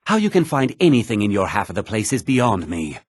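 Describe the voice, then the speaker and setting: Proud working miner, low-pitched, husky, gruff, boastful, fantasy game character. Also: male voice, wildly swooping pitch, fairly quick, no room sound, clean studio sound, full character voice. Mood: irritated. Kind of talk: video game character lines.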